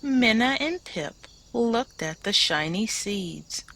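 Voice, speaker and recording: A woman teacher reading a children's picture book aloud in an expressive, deliberate way, leaning on key words. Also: on the high side, creaky. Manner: deliberate, dramatized phrasing with emphasized words.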